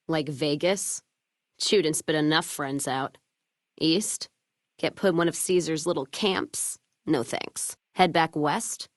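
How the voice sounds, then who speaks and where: A woman's voice: deep voice, no room sound, studio quality, natural voice. A woman voicing a hard-drinking, hot-tempered, cynical caravan trader in a video game, with a blunt, sardonic, salty and world-weary delivery. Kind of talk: video game character dialogue.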